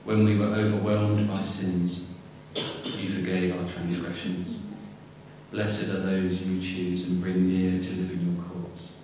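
Deep flat-voiced man reading psalms. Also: takes it slowly, slight background noise. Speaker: scripture reader. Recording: distant mic in echoey church.

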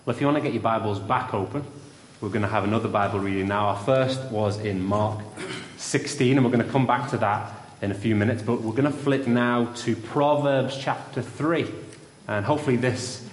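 A young man preaching and telling a story in a church, with a loud voice. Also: medium pitch, fairly quick, few pauses, a little hiss or hum. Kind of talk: sermon with story. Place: church hall. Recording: roomy church with long reverb.

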